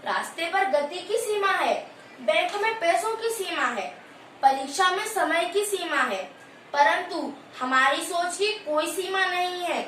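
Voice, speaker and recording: A woman's voice: very high-pitched, lively intonation, a little slow, a bit bright, exaggerated voice. A woman playing a female student in an amateur skit, reciting her lines in an earnest, declamatory way. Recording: echoey room, distant mic, faint hiss.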